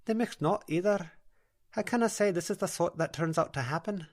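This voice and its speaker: A woman's voice: fairly low pitch, fairly quick, darkish tone, voice pushed up, lightly acted. A woman voicing a soothing, earnest female dream-walker with a broad Scottish accent in an audiobook.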